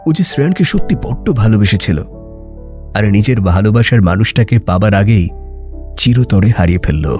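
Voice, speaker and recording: A man's voice: fairly low pitch, animated, normal speed. A man narrating an audio love story in a dramatic, emotive, literary style. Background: background music.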